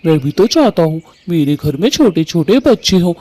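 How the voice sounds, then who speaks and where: Mean grumbly husband done by a man, kinda high but mellow voice, always complaining. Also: very animated, moderate pace. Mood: whining. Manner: harsh, complaining. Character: grumpy cruel husband, harsh and taunting. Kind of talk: Hindi cartoon voice acting.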